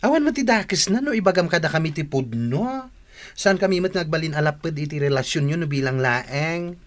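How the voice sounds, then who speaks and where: A woman's voice: deep voice, animated, a little fast. An actress with an Ilocano accent playing a chatty, teasing young female friend in a radio drama. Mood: teasing, pleased.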